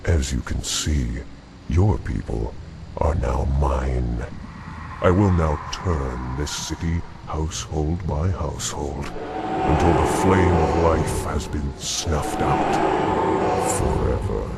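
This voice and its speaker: A man voicing an arrogant, menacing demon lord in a video game cutscene, gravelly and taunting in a grandiose, sinister way. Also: a bass voice, slow pace, very noisy, exaggerated voice. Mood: menacing, gloating. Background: loud music.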